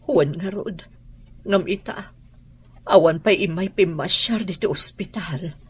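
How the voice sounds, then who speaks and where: A woman's voice: lowish voice, a little slow, breathy edge. A woman playing a humbled, regretful middle-aged mother in an Ilocano radio drama, with an Ilocano Filipino accent. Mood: troubled.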